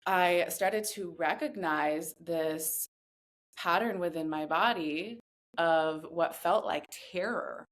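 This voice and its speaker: A woman in her thirties with a distinctly low voice talks reflectively as an interview guest. She is earnest and chooses her phrases with deliberate care, letting them land in a measured way. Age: 30s. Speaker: podcast guest, somatic voice guide. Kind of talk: reflective personal interview talk.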